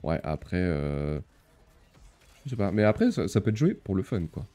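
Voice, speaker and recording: A man's voice: low voice, very animated, normal speed, mellow, faint music behind. A man in his thirties chatting casually while streaming a game, talking with lots of hesitant fillers and reading chat aloud. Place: small room with purple lighting.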